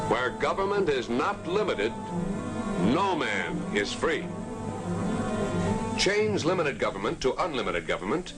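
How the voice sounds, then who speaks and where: A man reading scripted narration for a 1940s propaganda film in an earnest, declamatory way. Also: medium pitch. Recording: old film soundtrack with music.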